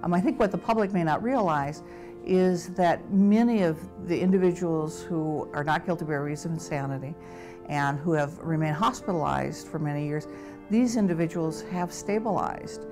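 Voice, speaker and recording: A woman with a notably low voice gives a documentary interview. She explains things articulately, with soft music playing faintly behind her. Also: normal speed. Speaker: hospital staff member.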